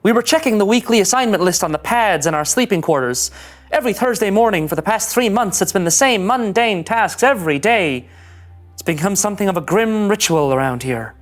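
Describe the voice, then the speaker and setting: A woman voicing an anxious, rule-following young male starship ensign in a scripted animated sci-fi read, fretful and earnest. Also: mid-range voice, a little fast, natural voice. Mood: troubled, confessional. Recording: music under the voice.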